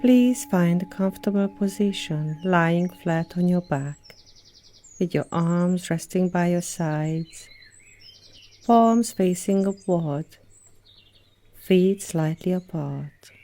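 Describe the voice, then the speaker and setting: A woman leading a guided yoga nidra meditation as an instructor, speaking in a soothing, gentle manner with long pauses between phrases. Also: on the low side. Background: birdsong and nature sounds.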